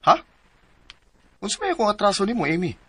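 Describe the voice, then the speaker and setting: An actor playing a smooth-talking young man in a radio drama, playful and cajoling, with a Cebuano Filipino accent. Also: sits high, very animated, a little fast, sharp tone, exaggerated voice. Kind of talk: Philippine radio drama acting.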